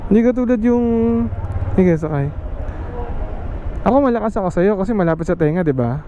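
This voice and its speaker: Higher-pitched Filipino man over road noise. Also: animated, a little fast, dark tone, highs cut off. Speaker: vlogger testing gear. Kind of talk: casual chat and commentary. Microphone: intercom helmet microphone. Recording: noisy vehicle and road background.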